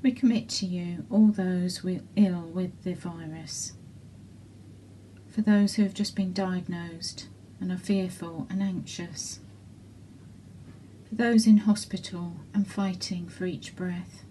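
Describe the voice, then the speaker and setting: A woman leading intercessory prayers in a measured way, pausing between short petitions. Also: fairly low pitch, fairly even intonation, warm tone, a little hiss or hum, low-quality recording. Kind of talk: slow spoken intercessory prayer.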